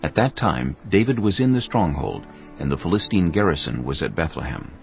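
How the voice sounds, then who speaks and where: A man with a gravelly, husky voice giving solemn, measured scripted narration of a dramatized Bible. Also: very deep voice, big pitch swings, highs cut off, faint music behind.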